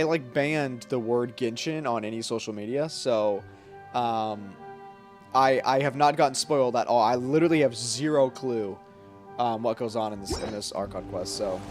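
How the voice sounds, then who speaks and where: A man chatting casually to livestream viewers in a rambling, slangy way. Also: medium pitch. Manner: rambling, informal slangy talk. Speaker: game livestreamer. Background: background music.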